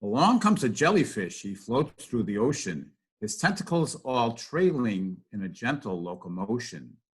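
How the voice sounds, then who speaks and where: An older man reading a rhyming picture book aloud to children in an expressive, sing-song rhythm. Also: mid-range voice, normal speed, breathy edge.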